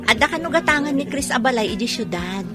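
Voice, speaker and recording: An actress playing a kind, contented young bride and friend in an Ilocano radio drama. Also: female voice, a little high, animated, fast talker, music in the background, lightly acted. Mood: grateful.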